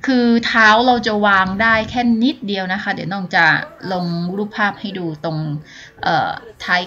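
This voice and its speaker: A woman's voice: medium pitch, normal speed, sharp tone, muffled recording. Chatty woman on a trail. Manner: chatty, polite, many filler sounds. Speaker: vlogger. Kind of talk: casual travel vlog narration. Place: outdoors on a mountain trail. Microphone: phone camera held at arm's length.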